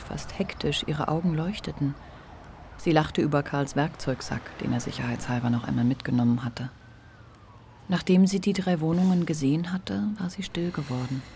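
An actor playing a male literary narrator in a radio drama, reading scripted prose in a measured, contemplative way. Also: fairly high pitch, gravelly, background noise. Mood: reflective. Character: reflective literary narrator of a novel. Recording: music bed behind narration.

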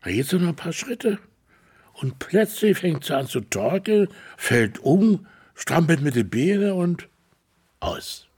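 An actor playing a folksy, rambling village man with a husky, gravelly voice and Berlin-Brandenburg accent in a radio play. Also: on the high side, sing-song, slow pace, a little mellow, heavily acted. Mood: sorrowful storytelling. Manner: rambling, colloquial storytelling. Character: folksy rural village man mourning his favourite hen. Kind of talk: naturalistic radio play acting.

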